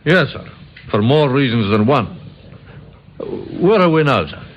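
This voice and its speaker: Vintage radio role: plain-spoken, respectful sergeant, acted by a man, animated delivery, light hiss. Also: medium pitch, lo-fi sound, lightly acted. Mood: dutiful, puzzled. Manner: brisk, obedient, plain-spoken. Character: deferential, slightly puzzled male police sergeant sidekick. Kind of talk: old-time radio drama acting. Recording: hissy old radio recording.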